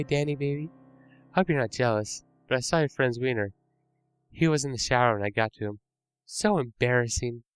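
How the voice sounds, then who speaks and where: A man's voice: mid-range voice, clear, resonant tone, dry recording, pitched above the performer's own voice, close to an everyday voice. A man doing an unhinged obsessive young woman, raised voice. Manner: swings between rage, pleading and sugary menace. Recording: music playing underneath.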